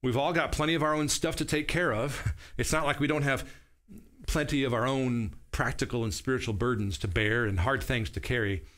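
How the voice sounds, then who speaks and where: A man's voice: mid-range voice, normal speed, a bit bright. A man in his forties preaching a sermon from a small studio set, explaining verses in an earnest teaching tone and checking in with his listeners. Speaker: pastor. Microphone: seated at a table, camera at medium distance.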